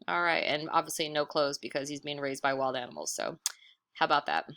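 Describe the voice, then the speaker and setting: A woman who sounds like a teacher, reading a children's story expressively and pausing for chatty explanations. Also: low voice. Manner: expressive, encouraging, pauses to explain words and pictures. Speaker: teacher reading aloud to pupils. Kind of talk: animated children's read-aloud with chatty explanatory asides.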